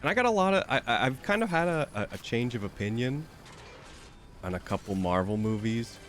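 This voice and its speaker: A man's voice: mid-range voice, animated, fairly quick, a bit bright, soft background music. A young man with a stuffy, slightly nasal voice, chatting casually and rambling with lots of fillers while streaming a game. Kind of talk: casual chat while gaming.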